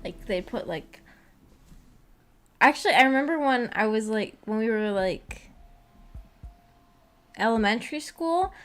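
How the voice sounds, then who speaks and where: A woman chatting casually as a livestream host, rambling in a giggly, self-deprecating way. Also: a little high. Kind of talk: casual chat. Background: music.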